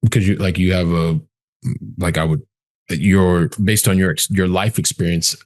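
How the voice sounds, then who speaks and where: A man's voice: deep voice, fairly even intonation, moderate pace. A man hosting a podcast, asking questions thoughtfully while searching for his words. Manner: thoughtful, searching for words, many affirming murmurs. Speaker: podcast host. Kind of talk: casual interview talk.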